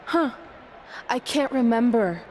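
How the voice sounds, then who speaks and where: A woman's voice: fairly high pitch, lively intonation, takes it slowly, background noise. An actress playing a smug, self-assured young female pro wrestler in a video game cutscene. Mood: smug, taunting.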